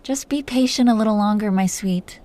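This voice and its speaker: A woman's voice: medium pitch, moderate pace, darkish tone, close to an everyday voice. A woman playing a protective, doting dragon queen who teases her lover tenderly in an intimate roleplay. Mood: wistful, affectionate. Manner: tender, playfully teasing, breathy whispers at times. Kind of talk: intimate roleplay audio, soft acting.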